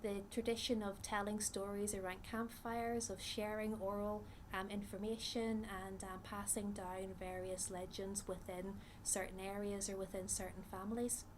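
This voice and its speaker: Woman, Northern Irish accent, researcher, measured explanatory talk, faint background noise. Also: medium pitch, a little mellow, rough recording. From Northern Ireland. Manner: thoughtful, measured, with frequent ums. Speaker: psychology researcher.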